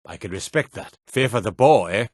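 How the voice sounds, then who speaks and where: A gruff fantasy town guard from a video game, with a low, husky voice recorded very dry. He is suspicious and curt, with a wry, world-weary edge to his remarks. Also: lively intonation, a little fast, no echo. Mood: wry, friendly. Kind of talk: video game character voice lines.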